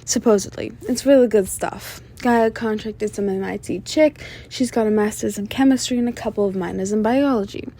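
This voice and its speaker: A woman playing a flirtatious, menacing female mob boss in an ASMR roleplay, sly and self-assured, with a soft, teasing, sultry delivery. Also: medium pitch, natural voice. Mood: businesslike, confiding. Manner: teasing, sultry, drawn-out delivery with veiled threats. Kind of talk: scripted ASMR roleplay monologue addressed to listener.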